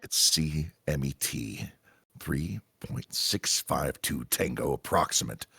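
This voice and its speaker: A man playing a grave commanding agent in a sci-fi radio drama, briefing with deliberate, dramatic emphasis and pauses. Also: extremely low pitch, lively intonation, creaky, pushed and bright, breathy edge, no room sound, studio quality, full character voice. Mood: grave, urgent. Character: grave male commanding agent of a multiverse agency.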